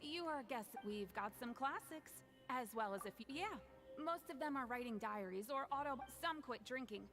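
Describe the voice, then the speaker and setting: Highish, friendly club member. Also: female voice, expressive, moderate pace, a bit bright. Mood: welcoming. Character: friendly, helpful female member of a prisoners' club. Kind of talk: video game character acting. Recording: game music behind the voice.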